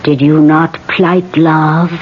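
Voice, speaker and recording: An actress playing an old, solemn woman spirit medium in a 1970s radio drama, with halting, whispery, trance-like delivery. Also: deep voice, little pitch movement. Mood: stern, challenging. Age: older. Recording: muffled old radio recording, loud hiss and hum.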